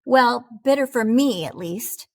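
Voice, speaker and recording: Casting: female reader as a proud talking cheese narrating a storybook, high-pitched, theatrical, unhurried. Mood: baffled, dismayed. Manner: theatrical, expressive, deliberate delivery. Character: proud, dramatic cheddar wheel learning humility, storybook narrator. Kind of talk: animated children's storybook read-aloud.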